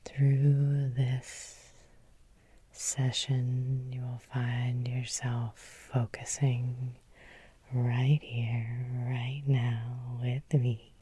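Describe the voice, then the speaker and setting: A woman leading an ASMR guided hypnosis in a breathy whisper, soothing and repetitive, with lingering pauses. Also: rumbling low, little pitch movement, mellow, no echo. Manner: slow, soothing, repeating phrases with lingering pauses. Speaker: hypnotherapist and Reiki healer leading a guided meditation.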